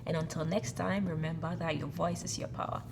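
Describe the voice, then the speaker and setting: A woman's voice: low voice, fairly even intonation, brisk, gravelly, background noise. A woman hosting a casual interview, speaking in an earnest, encouraging way. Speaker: host.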